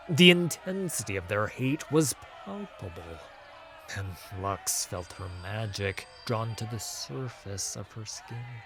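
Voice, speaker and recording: A man with a lowish voice narrates a fantasy audiobook. His delivery is slow, solemn and dramatic, with music playing softly behind him. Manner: measured, solemn.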